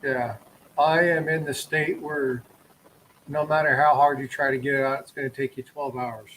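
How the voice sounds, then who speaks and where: A man's voice: medium pitch, steady tone, moderate pace, a bit bright, slight background noise, rough recording. A man from Texas hosting a casual live stream, chatting in an easygoing, joking way with a guest. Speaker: coin roll hunting streamer.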